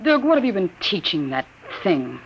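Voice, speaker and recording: A woman's voice: on the low side, very animated, moderate pace, a little hiss or hum. An actress playing a frightened, weary young woman who talks tensely in a 1950s radio drama. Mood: fearful. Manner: tense, anxious. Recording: muffled old radio broadcast.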